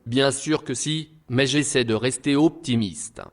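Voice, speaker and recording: An actor as a worried husband in a French audio drama. His mid-pitched voice is earnest and coaxing, that of someone trying to stay optimistic. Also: a little slow, natural voice. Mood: firm, concerned.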